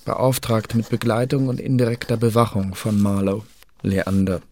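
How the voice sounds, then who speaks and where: An actor playing a secret service archivist, narrating a dossier formally and matter-of-factly in a radio drama. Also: male voice, fairly low pitch, steady tone, moderate pace, dark tone, lightly acted.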